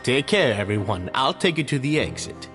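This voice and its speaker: A man voicing a smooth, deferential society leader. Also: medium pitch, big pitch swings, normal speed, over music, natural voice. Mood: courteous. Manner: polite, courteous, deferential. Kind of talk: video game voice acting.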